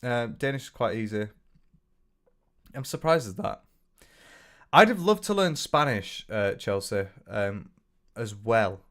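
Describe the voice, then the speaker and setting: A man's voice: lowish voice, animated, unhurried, long pauses, a bit bright. A young man with a northern English accent chatting casually on a livestream, reading out viewer comments with lots of restarts and the odd swear. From north of England. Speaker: streamer.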